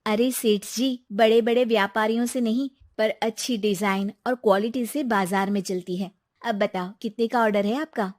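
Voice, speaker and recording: A woman's voice: mid-range voice, steady tone, moderate pace, darkish tone, lightly acted. A woman voicing a determined, earnest young factory owner in a moral story. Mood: confident.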